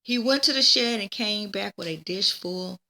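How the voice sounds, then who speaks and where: A woman reading a children's story aloud in a deliberate way, now and then stumbling and repeating words. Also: mid-range voice, creaky, pushed and bright. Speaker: teacher reading a book aloud. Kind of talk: children's read-aloud narration.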